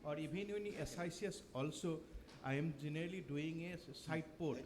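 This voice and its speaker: A man, a surgeon asking a halting question from the floor. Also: a little high, darkish tone, a little hiss or hum. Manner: hesitant, halting.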